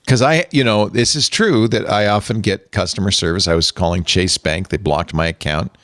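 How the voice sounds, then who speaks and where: An older man hosting a casual panel chat from a home studio, animated, chuckling, with quick asides. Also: lowish voice, no room sound. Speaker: host. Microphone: studio mic on arm.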